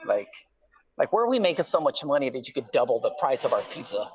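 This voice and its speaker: A man's voice: medium pitch, very animated, fast, crowd noise. Middle-aged man on a dull phone line. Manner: conversational, explanatory, peppers talk with "right?" and "you know". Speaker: guest calling from prison. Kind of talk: casual phone conversation. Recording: slightly dull, lo-fi phone call.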